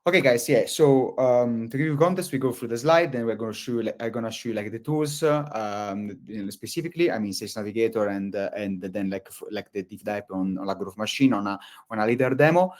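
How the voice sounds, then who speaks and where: A man's voice: lowish voice, fast. A man from Italy with an Italian accent, presenting a live webinar over a video call, talking in loose, rambling sentences full of fillers and restarts.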